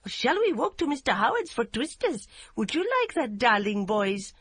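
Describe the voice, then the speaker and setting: A woman doing Yum, a shrill furious young wife. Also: big pitch swings, normal speed, fairly bright tone, no room sound. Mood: sweetly cheerful. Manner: shrill and impassioned.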